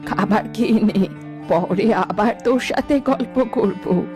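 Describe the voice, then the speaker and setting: A woman's voice: mid-range voice, slow pace, natural voice. A woman voicing a protective village elder in a cartoon, fussing over a child with firm commands. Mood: coaxing, weary. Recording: music behind the voice.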